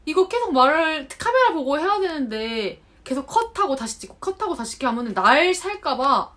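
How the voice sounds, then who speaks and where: A Korean woman in her thirties talking casually to camera, chatty and animated, acting out remarks she quotes. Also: high voice, a little fast. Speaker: vlogger. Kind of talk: unscripted talk to camera. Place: home in Japan.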